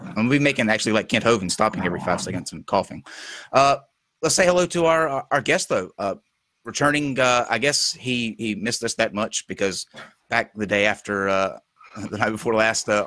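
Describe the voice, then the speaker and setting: A man hosting a casual talk show, losing his voice and talking haltingly with many fillers. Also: lowish voice, lively intonation, pushed and bright, no room sound. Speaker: show host.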